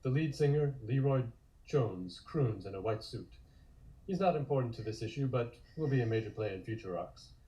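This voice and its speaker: A man's voice: medium pitch, lively intonation, normal speed, dark tone, dull audio. A man reading scripted narration and stage directions.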